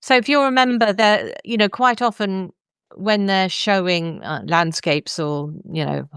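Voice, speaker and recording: A woman's voice: mid-range voice, measured pace, a bit bright, clear, resonant tone, no echo, studio quality. A woman hosting a podcast, talking conversationally as she reviews and discusses. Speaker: podcast host.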